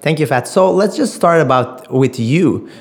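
A man's voice: a little high, animated, normal speed, vocal fry. A man, introducing and questioning a guest as an interview host. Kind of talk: conversational interview hosting.

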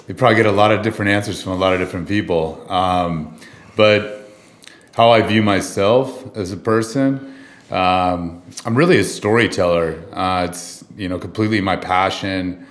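A man with a very low voice talks through his life story as an interview guest. He is from Southern California, and his relaxed answers are full of ums and uhs. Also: moderate pace. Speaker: guest filmmaker.

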